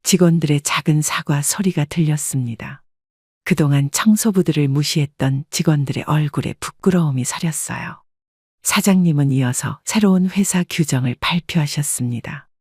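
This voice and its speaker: Husky woman narrating an audiobook. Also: low-pitched, a little mellow, no echo, studio quality. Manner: measured, polite storytelling. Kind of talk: scripted first-person story narration.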